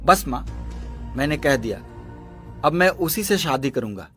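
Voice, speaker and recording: A man's voice: fairly high pitch, animated, measured pace, sharp tone, husky, soft background music, heavily acted. A man playing an earnest, resolute young engineer and devoted suitor in a moral story drama. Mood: insistent. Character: earnest, lovestruck young male engineer, firm-minded son. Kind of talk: Hindi moral story voice acting.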